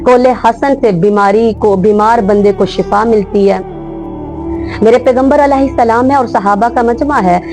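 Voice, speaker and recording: A woman's voice: on the high side, darkish tone, low-quality recording. A woman Islamic teacher giving a religious lecture, stacking repeated parallel phrases and asking rhetorical questions.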